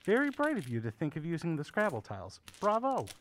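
Male actor as courtly old uncle, curiosity shop owner, very animated intonation, genial, theatrical, old-fashioned, audio drama. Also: fairly high pitch, fast, slight background noise.

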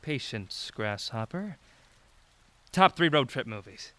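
A man playing a playful, polite young traveler in an audio drama, with a teasing, expressive manner. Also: medium pitch, takes it slowly, no echo.

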